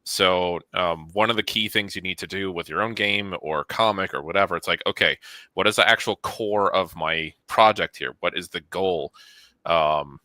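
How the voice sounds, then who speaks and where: A man's voice: low voice, moderate pace, bright, forceful tone, no echo. A man in a conversational interview, explaining things emphatically and offering advice to viewers.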